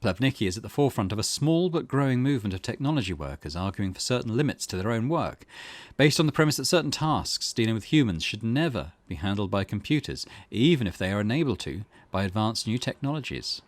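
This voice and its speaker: A man's voice: lowish voice, sing-song, fast, no echo. A man hosting a let's play, reading game text aloud and commenting on it in a thoughtful, musing way.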